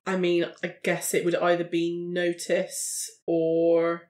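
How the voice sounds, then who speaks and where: A woman's voice: fairly low pitch, completely flat delivery, slow, darkish tone. A woman chats as a player in a tabletop roleplaying game. Her phrasing is casual and tentative, as she works out choices aloud.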